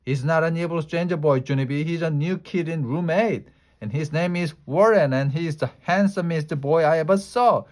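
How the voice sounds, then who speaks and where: A man voicing a bossy, dramatic little schoolgirl with a shrill, whiny, indignant way of talking. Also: big pitch swings, normal speed, lightly acted. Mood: dreamy.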